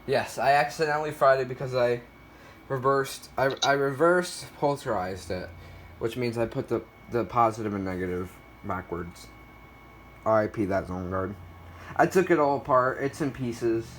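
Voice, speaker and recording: A man's voice: medium pitch, moderate pace, fairly bright tone. Casual guy vlogging, noisy recording. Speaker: collector showing a weather radio collection. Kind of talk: casual unscripted show-and-tell.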